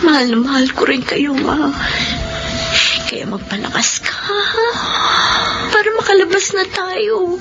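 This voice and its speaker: A woman's voice: very high-pitched, sing-song, unhurried, pauses often, music in the background, exaggerated voice. A woman playing a caring, anxious young daughter in a Filipino radio drama, earnest and emotional. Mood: worried. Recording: muffled, noisy radio drama recording.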